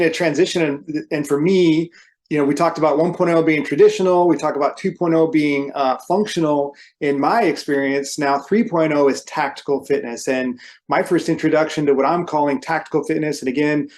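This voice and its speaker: Higher-voiced man explaining through anecdotes. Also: darkish tone. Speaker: podcast guest, fitness trainer. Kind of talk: casual podcast conversation.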